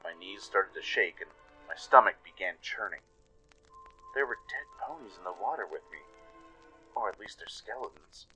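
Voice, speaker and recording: A man's voice: deep voice, expressive, normal speed, long pauses, bright, forceful tone, faint music behind, poor audio. A man giving dramatic scripted narration as the storyteller and announcer of a radio play.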